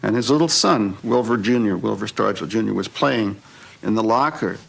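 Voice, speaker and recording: A man's voice: on the low side, normal speed, creaky, breathy edge. An older man reminiscing reflectively about sport, as in an interview.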